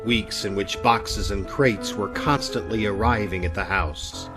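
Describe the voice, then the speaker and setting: A man playing a mysterious storyteller, narrating a radio drama in a measured, dramatic way. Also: fairly low pitch, close to an everyday voice. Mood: ominous. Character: mysterious male storyteller narrating an eerie tale. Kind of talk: old-time radio scripted narration. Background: music.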